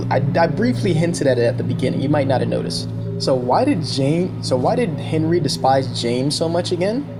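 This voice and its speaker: A man gives casual, excited commentary as a video host, speaking straight to the viewer. His phrasing is informal and conversational, with a mid-pitched voice. Loud music plays behind him throughout. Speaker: video host reviewing a horror series. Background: loud background music.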